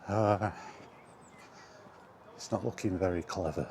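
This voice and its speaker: Slow, low-voiced man outdoors. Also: a little mellow. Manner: rambling, self-deprecating, mild exasperated swearing. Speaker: vlogger. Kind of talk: casual walking vlog chat. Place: outdoors on a path in windy weather. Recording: outdoor camera audio with background noise. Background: outdoor ambience, wind.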